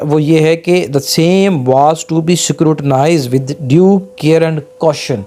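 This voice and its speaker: A man's voice: fairly high pitch, dark tone, a little hiss or hum. A man with a Pakistani accent teaching law in an informal lecture, explaining step by step and rephrasing key points. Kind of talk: informal law lecture mixing Urdu with English legal terms.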